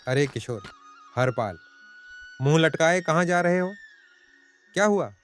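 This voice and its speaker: Male actor as feisty middle-aged village uncle, raised pitch, bossy and scolding, story drama over music. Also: animated, a little slow. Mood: concerned. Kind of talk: dramatized moral story acting.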